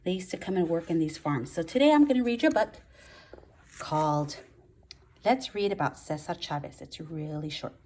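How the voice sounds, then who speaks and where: A woman's voice: fairly low pitch, animated, moderate pace, darkish tone, a little hiss or hum. A woman teaching first graders, reading aloud and explaining things in simple words with emphasis on key words. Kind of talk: read-aloud lesson for young children.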